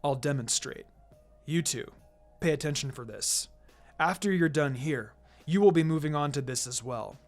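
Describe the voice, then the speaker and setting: A narrator voicing a calm adult male ninja teacher with a measured, instructive and dryly teasing manner in a fan fiction reading. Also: medium pitch, soft background music, pitched below the performer's own voice, close to an everyday voice. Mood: calm, firm.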